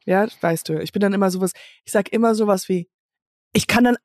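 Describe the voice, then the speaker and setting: A woman's voice: medium pitch, a little fast, darkish tone, no echo, studio quality. A woman co-hosting a podcast in casual comic banter, with a playful, mock-serious manner. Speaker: podcast co-host.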